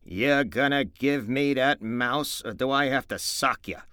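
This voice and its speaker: A man's voice: mid-range voice, pushed and bright, clear, resonant tone, no room sound, studio quality, voice pushed up, natural voice. A man voicing a stern, impatient man who talks to his friend in a brusque, cold way. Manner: brusque, cold, slow and firm.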